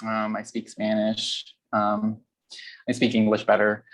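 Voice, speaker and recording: A man from Nicaragua introduces himself on a group video call in a low, fairly flat voice. He talks haltingly, restarting and correcting himself often with ums. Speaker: mutual aid member.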